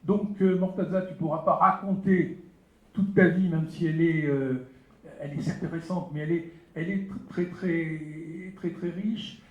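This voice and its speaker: Emphatic moderator, higher voice, echoey hall. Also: male voice, steady tone, measured pace. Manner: emphatic, repeats words for stress. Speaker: journalist moderating a round table. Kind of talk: panel moderation. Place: library auditorium. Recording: echoey hall, dull lo-fi sound.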